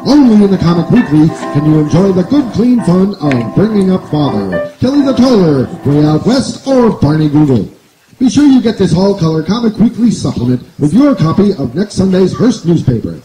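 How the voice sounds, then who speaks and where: High, dramatic male radio serial announcer. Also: very animated, moderate pace. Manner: declamatory. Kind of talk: dramatic old-time radio serial narration. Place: outdoor night stage, distant camera. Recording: echoey outdoor stage with music.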